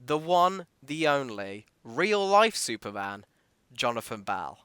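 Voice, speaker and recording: A man's voice: medium pitch, sing-song, slow, pushed and bright, clean tone, no echo. A man hosting a casual behind-the-scenes podcast interview as the interviewer.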